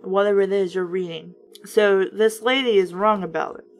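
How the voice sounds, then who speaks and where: A woman reading a book aloud in a casual, expressive way, breaking in with sarcastic, opinionated remarks. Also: medium pitch, normal speed, faint music behind. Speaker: host reading children's books aloud with commentary.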